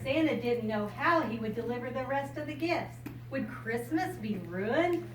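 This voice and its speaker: A woman's voice: high-pitched, lively intonation, moderate pace. An older American woman talking informally and reading to young children, explaining things simply. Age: 60s or older. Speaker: storyteller and RV enthusiast visiting children. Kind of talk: informal talk and picture-book reading to children. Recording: echoey room, distant mic, loud background noise.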